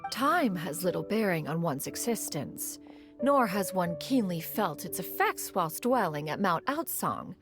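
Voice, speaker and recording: A woman's voice: on the low side, sing-song, measured pace. A woman voicing a proud, formal immortal crane spirit in human form for a video game, stately and archaic in speech. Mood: formal, awkward. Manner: stately, archaic, says "one" for herself. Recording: music under the voice.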